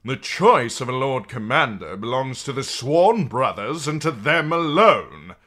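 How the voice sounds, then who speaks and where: A man's voice: medium pitch, very animated, unhurried, sharp tone, voice pushed up, exaggerated voice. A man voicing a proud, insistent knight.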